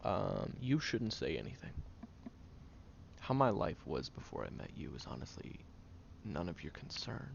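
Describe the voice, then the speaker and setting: Man acting a prickly tsundere guy, very low voice, heavy fry, halting, stern but caring, intimate roleplay, muffled recording. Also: sing-song, slight background noise, full character voice. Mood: exasperated, earnest. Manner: halting, stern yet caring, often dropping to a hush. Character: guarded, prickly tsundere young man nursing a sick friend. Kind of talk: intimate audio roleplay to the listener.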